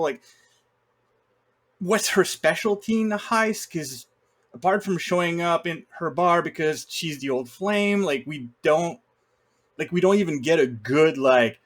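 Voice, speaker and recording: A man in a casual film discussion, talking bluntly and griping in an animated way with mild swearing. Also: fairly high pitch. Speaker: podcast co-host.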